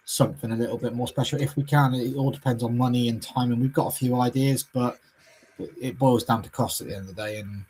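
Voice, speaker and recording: A man's voice: mid-range voice, a little mellow, breathy edge, low-quality recording. A man co-hosting a casual chat, explaining plans in an easygoing, matter-of-fact way. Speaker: podcast co-host.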